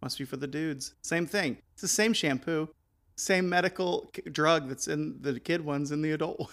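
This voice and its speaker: A man co-hosting a podcast, joking along in casual banter with a deadpan manner. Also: on the high side, normal speed. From American South. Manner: deadpan, plays along with the bit. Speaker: podcast co-host.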